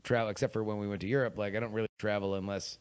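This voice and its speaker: A man's voice: fairly low pitch, steady tone, rapid-fire, smooth. A man co-hosting a casual chat podcast, rambling and trailing off.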